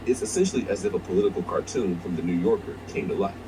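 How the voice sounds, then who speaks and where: A man narrates a scripted countdown video in a deep voice at a quick pace. Clear noise sits over the played-back recording. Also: expressive. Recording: noisy playback of a video.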